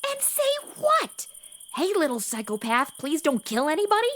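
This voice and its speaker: A woman's voice: high voice, wildly swooping pitch, normal speed, fairly bright tone, heavily acted. A woman playing a brash, oversharing modern young woman in a western comedy audio drama, with an American accent and a sassy, slangy, blurting delivery. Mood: sarcastic, oversharing. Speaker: lead actor in a comedy audio drama podcast. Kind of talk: comedic radio-play acting.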